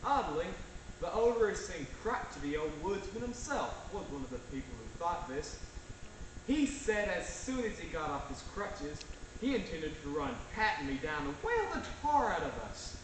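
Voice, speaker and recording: High-voiced young man narrating in echoey room. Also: sing-song, normal speed, recorded from a distance. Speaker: student narrator and actor in reader's theater. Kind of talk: scripted dramatic story narration. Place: large echoey room, school performance. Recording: echoey, noisy stage recording from afar.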